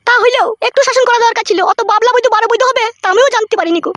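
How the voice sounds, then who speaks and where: A woman's voice: extremely high pitch, rapid-fire, fairly bright tone, slight background noise. A woman voicing a scheming, quarrelsome village mother-in-law in a comedy cartoon, scolding and combative. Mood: complaining, accusing. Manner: scolding, combative, foul-mouthed. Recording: music playing behind.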